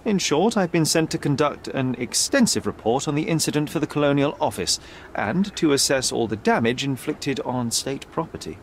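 Man playing a confident young detective, lively pitch. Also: moderate pace, a little hiss or hum, natural voice. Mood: polite, composed. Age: young adult. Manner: articulate, polite but pointed. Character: confident young detective, persuasive, probing, self-assured. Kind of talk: video game cutscene acting.

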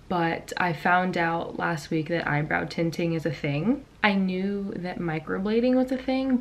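Young woman vlogging from her bedroom, raspy cuz she just woke up, lots of ums, bit of background noise. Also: on the low side. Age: young adult. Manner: chatty, rambling, frequent ums and likes. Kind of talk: casual vlog chat. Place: bedroom at home. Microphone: camera in front at desk.

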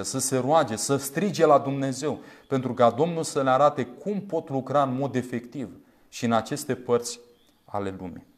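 A man in his thirties from Romania preaching a sermon in an earnest, emphatic way, using deliberate repetition. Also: fairly high pitch. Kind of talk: sermon with scripture commentary. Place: small indoor room, standing at a glass pulpit. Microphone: lapel mic.

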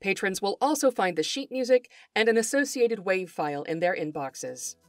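Woman, podcast host, articulate, formal scripted delivery, mid-pitched, dry recording. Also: animated, moderate pace, no echo, soft background music. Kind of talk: scripted narration.

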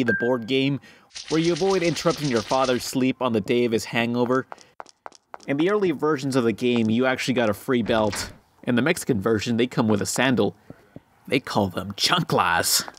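A man giving casual, sarcastic, sweary let's play commentary, joking and reading game text aloud. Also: medium pitch. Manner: sarcastic, profane. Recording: clear background noise.

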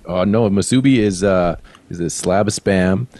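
A man co-hosting a casual podcast, explaining something in a relaxed, hesitant way. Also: sits low, moderate pace. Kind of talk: casual comedic podcast chat.

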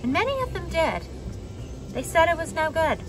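A young adult woman reading a children's picture book aloud expressively, with dramatic pauses and emphasis. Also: very high-pitched, big pitch swings, over music, low-quality recording. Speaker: storybook reader for a children's church message. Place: outdoors on a wooden deck among trees.

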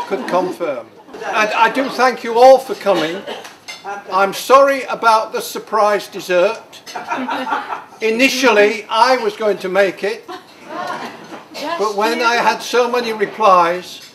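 A man in his eighties giving a wry birthday speech in a club function room. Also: sits high. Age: 80s. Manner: wry, dry humour with pauses for laughs. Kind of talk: after-dinner speech. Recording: crowded noisy party room.

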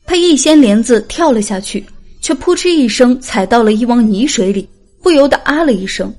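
A woman reads a novel aloud as an audiobook narrator. Her voice sits fairly high. The delivery is scripted, dramatic storytelling. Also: expressive, moderate pace, a little mellow, faint music behind.

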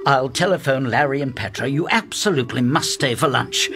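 An actor playing a louche, flippant upper-class 1920s magistrate in a radio drama, breezy and playful. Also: male voice, mid-range voice, brisk, music in the background, natural voice. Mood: gleeful.

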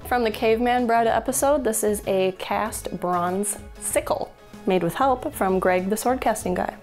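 A woman's voice: medium pitch, measured pace, darkish tone, soft background music. A woman in her thirties hosting a casual show-and-tell, joking and laughing often, recorded in an indoor studio with an off-camera mic. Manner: playful, jokey, laughs often. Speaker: host. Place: indoor studio with a blue wall.

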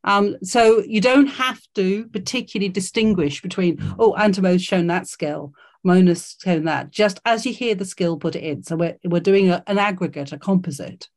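A woman's voice: on the low side, normal speed, a little mellow. A woman hosting an online careers workshop over a video call, encouraging and full of fillers and restarts. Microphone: video call mic.

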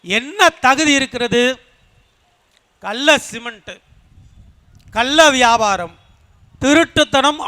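A man's voice: very high voice, sharp tone. A man giving a fiery political rally speech as a party speaker, hammering points with rhetorical questions and repetition. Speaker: party speaker at a public meeting.

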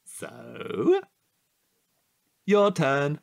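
A man acting a chatty, curious night-watch guard in a naturalistic audio drama, husky and halting in a playful way. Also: on the high side, wildly swooping pitch, dry recording, studio quality, exaggerated voice. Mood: amused.

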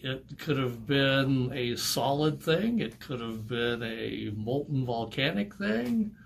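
A man's voice: mid-range voice, animated, creaky, a little hiss or hum. Older male pastor, slightly distant camera sound. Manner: brief, unhurried replies. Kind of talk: casual interview answers.

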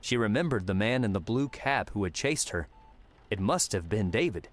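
Low-voiced male audiobook narrator. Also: expressive, normal speed. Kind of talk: scripted third-person fiction narration. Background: music.

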